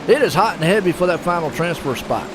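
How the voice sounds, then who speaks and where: Casting note: chatty male sim race host, slightly high voice, loud engine noise behind him. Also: animated, fast. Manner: chatty and folksy, jokes with his co-host. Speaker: race commentator and stream host. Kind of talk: casual sim racing commentary. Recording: noisy broadcast mix with engine noise.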